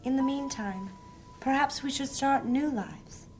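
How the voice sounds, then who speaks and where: A woman performs a young, lost traveler in a fantasy audio drama. Her voice is high, with an earnest and plaintive way of speaking. Music plays clearly underneath. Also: unhurried. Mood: wistful, searching.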